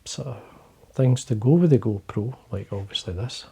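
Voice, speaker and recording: A man with a Scottish accent, talking quietly and casually to camera from his fly-tying desk, rambling with fillers and restarts. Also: medium pitch, normal speed, creaky, breathy edge. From Scotland. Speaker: fly-fishing video host.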